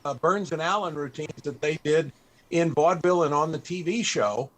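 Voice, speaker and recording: A man's voice: on the high side, normal speed, fairly bright tone, rough recording. A man hosting a casual panel interview over a video call, feeling his way through meandering questions.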